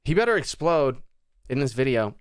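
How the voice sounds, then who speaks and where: A man's voice: medium pitch, sing-song, normal speed, clean tone, no echo, studio quality. A man hosting a livestream with casual, profane reaction commentary, thinking aloud informally. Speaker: livestream host.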